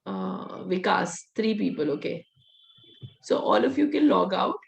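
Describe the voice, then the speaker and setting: Casting: woman coding instructor, hoarse and gravelly, mid pitch, direct. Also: animated, a little slow, poor audio. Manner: direct, coaching, laughs often. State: voice nearly gone, hoarse. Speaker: VBA trainer. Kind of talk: live online class, teaching and answering questions.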